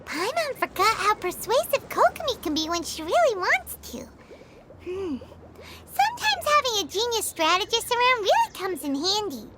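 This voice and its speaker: A woman voicing a tiny, squeaky, childlike fairy companion in a video game, perky and blunt. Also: huge pitch swings, moderate pace, heavy background noise, full character voice. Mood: impressed. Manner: speaks of self in third person. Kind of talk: video game character acting.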